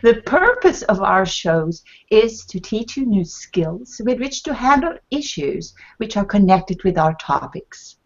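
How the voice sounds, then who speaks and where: A woman from Sweden opening a show, speaking in a measured, deliberate way over a video call. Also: medium pitch, sing-song, highs cut off. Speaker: life and business mentor, host. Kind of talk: scripted show intro. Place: home in Canada.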